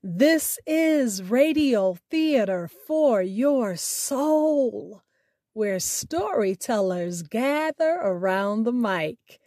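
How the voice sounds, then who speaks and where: A woman hosting a radio show, speaking in a deliberate, emphatic way with dramatic pauses, as in scripted spoken word. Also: on the high side, animated, mellow, no room sound, studio quality.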